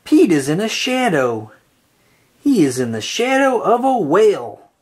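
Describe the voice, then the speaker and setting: A woman reading a children's picture book aloud with slow, expressive, sing-song delivery. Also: medium pitch, breathy edge. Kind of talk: read-aloud storytelling for kids.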